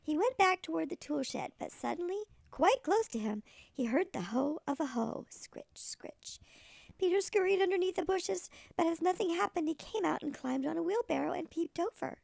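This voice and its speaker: A woman voicing a tiny old granny who reads a children's storybook expressively in a gravelly voice. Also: very high voice, animated, moderate pace, darkish tone, dry recording, exaggerated voice. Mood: storytelling. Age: older. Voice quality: creaky, gravelly.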